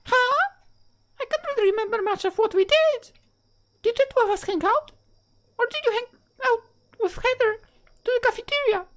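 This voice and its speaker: A woman's voice: extremely high pitch, lively intonation, moderate pace, pauses often, voice pushed up. A woman voicing a flustered, caring teenage schoolgirl in a visual novel, stammering and twitchy, with music behind her. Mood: hazy, hesitant. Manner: stammering, twitchy when embarrassed. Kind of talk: visual novel character dialogue.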